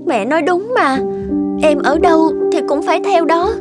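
A woman's voice: very high voice, moderate pace. A woman playing a meek, eager-to-please young newlywed in a cartoon, speaking politely and hesitantly. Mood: reassuring. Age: young adult. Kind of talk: cartoon dialogue. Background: background music.

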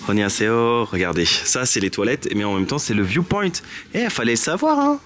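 A man's voice: mid-range voice, big pitch swings, normal speed, a bit bright, slight background noise. A man in his thirties chatting enthusiastically to viewers as a travel vlogger, filming himself at arm's length in a small restaurant. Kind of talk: casual travel vlog. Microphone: handheld camera at arm's length. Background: background music.

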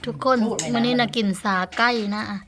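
A woman's voice: fairly high pitch, flat delivery, brisk, fairly bright tone. A woman with an Isan accent from northeastern Thailand, chatting casually as a vlogger to her viewers and companions. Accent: Isan (northeastern Thai). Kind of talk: casual vlog chat, talking to viewers and companions.

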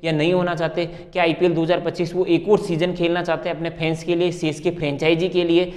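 A young man talks through cricket analysis in a conversational, emphatic way. His voice sits a little high for a man. It carries a long, hall-like reverb. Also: little pitch movement, fast, a little mellow. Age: young adult. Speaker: cricket video presenter. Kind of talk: conversational sports analysis to camera.

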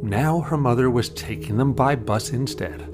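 A man's voice: medium pitch, normal speed, music in the background. A man narrating an audio drama as a scripted story.

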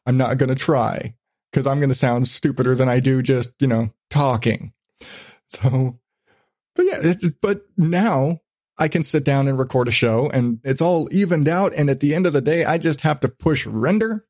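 A man's voice: mid-range voice, normal speed, darkish tone, no echo, studio quality. A man hosting a podcast in a casual, profane chat, telling things animatedly with acted-out asides. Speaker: podcast host.

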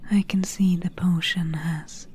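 A woman playing a gentle, caring swamp witch in a soft-spoken ASMR roleplay, whispering slowly and soothingly in a breathy voice. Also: on the low side, fairly even intonation. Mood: reassuring. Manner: slow, soothing, hushed.

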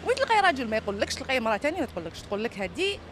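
An Algerian woman answering casually on the street. She speaks very fast with lively, swinging intonation and drops in French words, with clear street noise around her. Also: a little high. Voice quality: frequent French words mixed in. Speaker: passer-by being interviewed. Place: outdoors on a busy city street. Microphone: reporter's handheld microphone.

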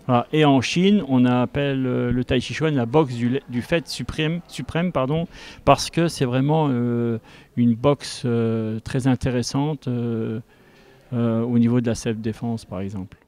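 A man in his fifties speaking as an instructor in an interview, explaining step by step and haltingly into a handheld microphone. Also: medium pitch, a little mellow. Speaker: tai chi instructor. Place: large school gymnasium with a group behind. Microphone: handheld reporter microphone.

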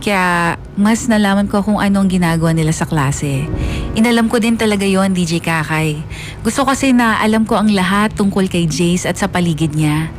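A wistful young college woman voiced by an actress narrating in the first person for a radio drama. Her voice is medium in pitch and confessional, with soft music underneath. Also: normal speed, lightly acted. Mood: wistful, longing.

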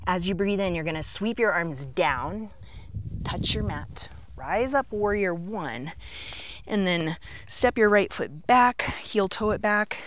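A middle-aged woman teaching a yoga class, cueing poses and breaths step by step in short, measured phrases. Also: mid-range voice, animated, noisy background. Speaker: yoga instructor. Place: outdoors on an open rocky canyon rim.

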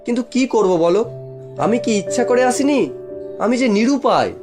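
Guy doing a confused earnest young fella, high pleading voice, spooky cartoon, music underneath. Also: lively intonation, normal speed. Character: earnest, bewildered young man back home. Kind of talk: horror cartoon story. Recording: music bed behind voice.

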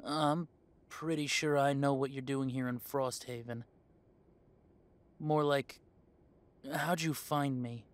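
A man's voice: mid-range voice, fairly even intonation, takes it slowly, long pauses, close to an everyday voice. A man playing a young werewolf in a conversational audio roleplay, talking in a casual, earnest way. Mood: guarded, annoyed. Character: easygoing young male werewolf, defensive with parents.

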